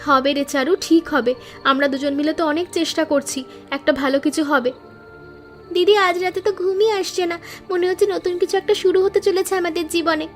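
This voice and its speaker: A woman's voice: very high-pitched, fairly even intonation, brisk, soft background music. A woman voicing earnest, hopeful young flower-selling sisters in a moral-story cartoon, speaking politely. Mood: excited, anxious.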